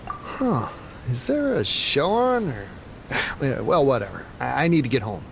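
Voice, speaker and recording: A person talking casually in offhand, muttered remarks. The pitch sits mid-range and moves about a lot. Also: wildly swooping pitch, a little slow, gravelly, slight background noise.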